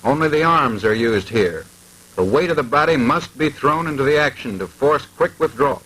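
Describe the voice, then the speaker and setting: A man's voice: mid-range voice, expressive, normal speed, fairly bright tone, low-quality recording. A man reading formal, precise scripted narration in the manner of a 1940s military instructional film.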